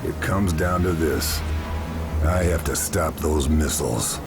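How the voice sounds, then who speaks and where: An actor playing a stoic, determined Allied sniper officer in a video game, speaking in a terse, grave, measured way. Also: male voice, a bass voice, creaky, over music, exaggerated voice. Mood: grim resolve. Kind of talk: video game cutscene acting and mission narration.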